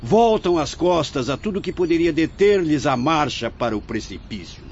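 A man's voice: fairly high pitch, lively intonation, moderate pace, a little mellow, lightly acted. A man acting in a radio drama as a grave, fatherly Brazilian spirit director who instructs his apprentices in a solemn, declamatory way. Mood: grave, admonishing. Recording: muffled lo-fi audio with faint noise.

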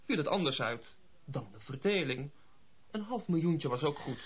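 An actor playing a sensible, loyal gentleman friend in a radio drama, reasoning in a matter-of-fact way. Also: fairly high pitch, expressive, moderate pace, breathy edge. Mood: reasoning, sympathetic. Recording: muffled lo-fi radio play recording.

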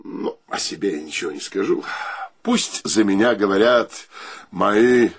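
Husky, swooping, pompous charmer. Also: male voice, lowish voice, expressive, measured pace. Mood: boastful. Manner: pompous, bantering, grandiloquent. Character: boisterous, boastful bachelor charmer, teasing friends, gallant to ladies. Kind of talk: theatrical radio play acting.

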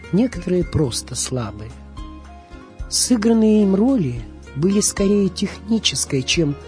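A woman's voice: fairly low pitch, warm tone. A woman reading a scripted documentary narration as the narrator of a radio programme. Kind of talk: scripted documentary narration about film and theatre.

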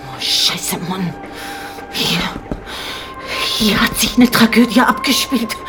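An actress playing a coarse, bitter widow in a radio play, muttering conspiratorially and melodramatically. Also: female voice, a little high, slow pace, over music. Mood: tearful, self-pitying.

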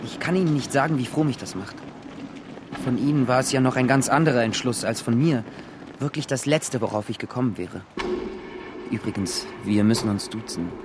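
Actor as an eager young fighter amid loud noise. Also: male voice, medium pitch, brisk, close to an everyday voice. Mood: excited, glad. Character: eager, grateful young male revolutionary fighter. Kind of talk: German radio drama acting. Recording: noisy battle ambience behind voice.

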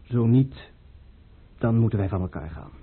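An actor playing a young, earnest and anxious prince caught up in a conspiracy, speaking formally in a radio drama. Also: male voice, mid-range voice, lively intonation, normal speed, creaky, slightly rough. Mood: grave. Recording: muffled old radio play recording.

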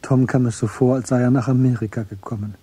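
Very dark-voiced male radio drama narrator. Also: medium pitch, steady tone, fast talker, slightly rough.

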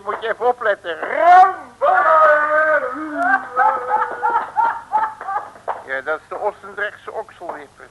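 High, animated, blustering detective. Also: male voice. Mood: triumphant. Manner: blustering, folksy, exclamatory. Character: blustering cocky male detective, colourful curser and brawler. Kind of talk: 1960s radio comedy acting. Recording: crackly old radio recording.

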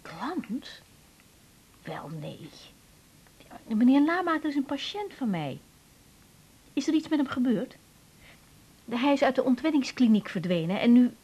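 A fairly high, very lively voice: a young female doctor under strain. She is emotional and expressive, in the manner of a radio play. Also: unhurried, long pauses, slightly rough, dull audio, exaggerated voice. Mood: flustered, worried. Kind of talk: Dutch radio drama acting.

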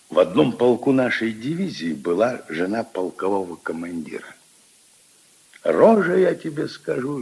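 A man's voice: lowish voice, vocal fry, slightly rough, full character voice. An older man playing a kindly, opinionated old grandfather who muses wistfully and emphatically in a 1950s radio drama. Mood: scornful, wry. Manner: ruminative, emphatic, intimate storytelling to his granddaughter. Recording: 1950s radio play recording.

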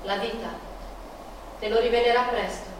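An actress playing a young Mexican woman in pain, bitter and intense, in a dramatic, deliberate theatrical monologue. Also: on the high side, steady tone, background noise, natural voice. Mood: bitter, melancholic. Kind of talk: theatrical radio monologue with music. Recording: echoey, distant stage sound with loud music.

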